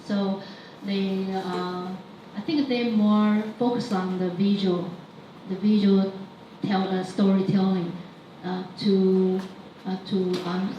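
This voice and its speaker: Slow, halting woman, non-native English. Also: mid-range voice, level tone, slight background noise. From Taiwan. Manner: halting, searching for English words. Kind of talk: panel discussion answer. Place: event hall. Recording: echoey hall, distant mic, dull sound.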